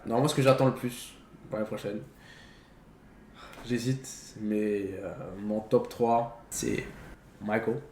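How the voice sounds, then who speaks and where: A man's voice: lowish voice, fairly even intonation, slow, pauses often, darkish tone. Slangy young man, echoey room. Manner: slangy French peppered with English phrases. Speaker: podcast co-host. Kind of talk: casual film chat. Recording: roomy echo, faint background noise.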